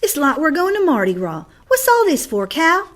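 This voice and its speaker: A woman's voice: high voice, huge pitch swings, normal speed, voice pushed up. A woman voicing an indignant, embarrassed young boy in a storybook reading, protesting and exclaiming. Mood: exasperated.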